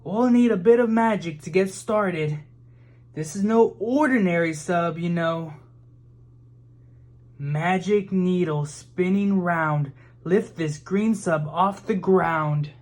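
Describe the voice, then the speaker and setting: Someone doing a kindly adventurous grandpa from a kids book, slow talking, all excited and encouraging. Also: medium pitch, close to an everyday voice. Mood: playful. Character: adventurous, kindly grandfather inventor, eager and welcoming. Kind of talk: children's storybook character voice.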